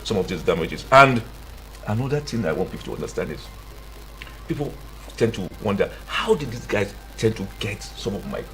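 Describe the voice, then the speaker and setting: A man's voice: fairly low pitch, sing-song, moderate pace, a bit bright, slight background noise, low-quality recording. A man with a Nigerian accent speaking as a guest in a conversational radio interview, emphatic and given to repeating himself. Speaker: studio guest, tech entrepreneur and CEO.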